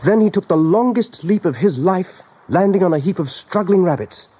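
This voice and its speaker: A man's voice: high voice, animated, moderate pace, breathy edge. A man narrating a scripted radio drama as storyteller. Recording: dull vintage radio recording.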